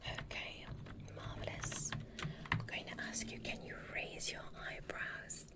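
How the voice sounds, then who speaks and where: A woman playing a gentle, curious alien doctor in a whispered ASMR roleplay, breathy and soothing, softly giving instructions. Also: measured pace, close to an everyday voice. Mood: curious, delighted. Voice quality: breathy, mostly unvoiced whisper. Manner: soothing, softly instructive, repeats short praise. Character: gentle curious alien medical examiner, female. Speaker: ASMR performer.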